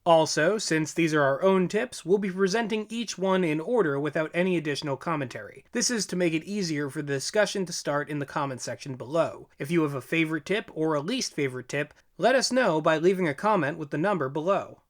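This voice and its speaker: A man co-presenting a scripted list of advice in a measured, instructional way. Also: on the high side, expressive. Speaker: co-presenter, audio drama writer. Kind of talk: scripted presentation of numbered writing tips.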